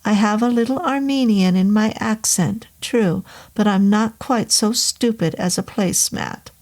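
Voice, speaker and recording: A woman's voice: medium pitch, measured pace, darkish tone, close to an everyday voice. A woman voicing a formal, polite middle-aged male innkeeper with a foreign accent and stiff phrasing. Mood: earnest.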